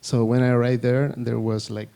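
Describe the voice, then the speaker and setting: Soft-toned Chilean man, hesitant. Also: mid-range voice, level tone, moderate pace, creaky, clear, resonant tone. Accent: Chilean Spanish. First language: Spanish. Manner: hesitant, searching for words. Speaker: panelist, UI designer. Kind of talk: panel talk to an audience.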